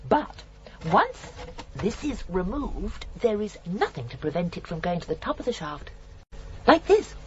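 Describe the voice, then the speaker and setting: An actress playing a genteel, chatty older British murderess in a radio drama, prim and sweetly matter-of-fact. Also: female voice, mid-range voice, very animated, a little slow, lo-fi sound, a little hiss or hum. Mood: brightly matter-of-fact.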